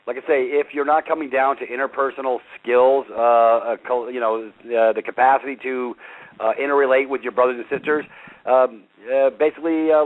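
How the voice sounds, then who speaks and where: A man in his fifties hosting a talk show, loud and passionate, rambling with many fillers. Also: medium pitch. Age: 50s. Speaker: radio show host. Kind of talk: casual talk show chat. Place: front porch outdoors. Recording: tinny phone line.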